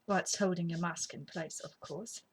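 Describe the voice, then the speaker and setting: A woman's voice: on the low side, steady tone, moderate pace, husky. Sniffly woman rambling through a craft demo. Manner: rambling, chatty, drops to whispers while working. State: slightly sniffly from a cold. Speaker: craft tutorial host. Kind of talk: casual craft demonstration. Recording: faint background noise.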